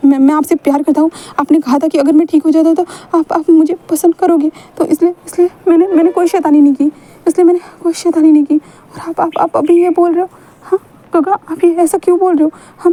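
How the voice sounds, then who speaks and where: A woman's voice: sits high, warm tone, natural voice. A woman voicing a young boy who stammers and pleads with an older man for his love. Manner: pleading, stammering, repeats himself.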